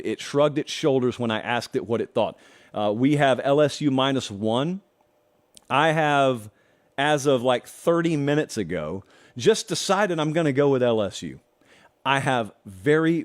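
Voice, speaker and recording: Guy doing football picks on his show, chatty and jokey, voice bounces all over. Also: medium pitch. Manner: animated, conversational, jokey asides to viewers. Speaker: host of a college football show. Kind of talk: sports betting picks and chat to camera. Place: studio.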